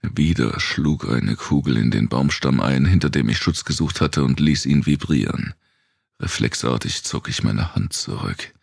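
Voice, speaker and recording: A man narrating a dark post-apocalyptic thriller audiobook in the first person, reading from a script. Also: extremely low pitch, a little slow, gravelly, husky, dry recording, studio quality.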